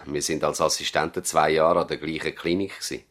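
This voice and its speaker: An actor playing a courteous older country doctor in a radio play, speaking formally with a Swiss German accent. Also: male voice, very deep voice, fairly even intonation, a little fast, fairly bright tone. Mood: collegial, reminiscing. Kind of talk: 1970s radio drama dialogue.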